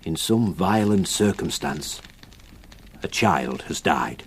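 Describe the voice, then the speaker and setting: An actor playing a grave countryman in a radio drama, telling of a haunting slowly and deliberately. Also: low voice, animated, slightly rough, exaggerated voice. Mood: ominous. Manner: slow, deliberate storytelling with weighty pauses.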